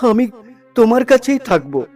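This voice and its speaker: A man's voice: very high voice, very animated, moderate pace, a little mellow, faint music behind, pitched above the performer's own voice. A man voicing a devoted little village girl in a story, earnest and emotional as she pleads. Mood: tearful insistence.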